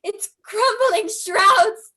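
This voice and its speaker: An actress playing a young daughter, trembling and fearful. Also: female voice, extremely high pitch, very slow, sharp tone, exaggerated voice. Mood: terrified. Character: frightened young daughter Edith. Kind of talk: old time radio drama acting.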